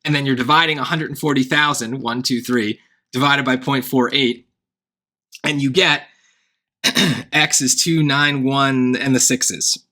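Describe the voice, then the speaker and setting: A man walks through a math problem like a relaxed tutor, checking in with "right?" as he goes. His tone is bright and forward. The voice sounds worn and hoarse. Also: mid-range voice, a little slow. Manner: thinking aloud, wry asides, frequent "right?". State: voice getting hoarse. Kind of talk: casual worked-example lesson.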